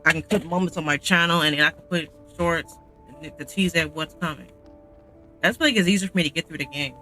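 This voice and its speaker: A man's voice: fairly high pitch, fairly quick, pushed and bright, soft background music. A man chatting casually and ramblingly as he streams a game. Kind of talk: casual live stream chat and commentary.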